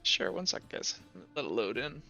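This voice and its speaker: A man narrating as game master, improvising informally in halting descriptions full of filler 'like'. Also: medium pitch, very animated, bright, forceful tone, no echo. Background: background music.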